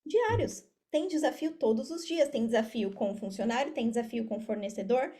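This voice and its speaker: A woman's voice: a little high, animated, brisk, mellow. A woman with a Brazilian Portuguese accent talking casually as an interview guest, explaining things and repeating phrases for emphasis. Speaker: podcast guest, affiliate marketer.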